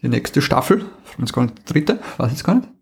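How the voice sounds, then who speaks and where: A man's voice: medium pitch, very animated, fairly quick, creaky, husky. A man with an Austrian accent co-hosting a podcast in casual chat, often repeating words as he thinks aloud. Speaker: podcast co-host.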